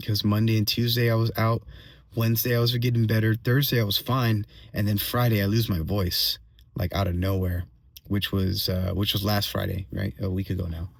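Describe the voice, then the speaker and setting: A man with a slightly hoarse voice giving a casual personal update as a vlogger, venting in a halting way, repeating phrases and checking in with tag questions. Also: on the low side, level tone, no room sound, studio quality. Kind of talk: casual solo monologue, venting.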